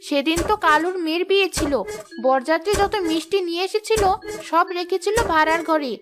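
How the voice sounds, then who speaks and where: A chatty village neighbour in a cartoon, superstitious and fond of gossip. Her voice is extremely high, and music plays clearly behind her. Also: brisk. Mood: ominous, gossipy. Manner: chatty, storytelling, earnest. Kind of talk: cartoon voice acting.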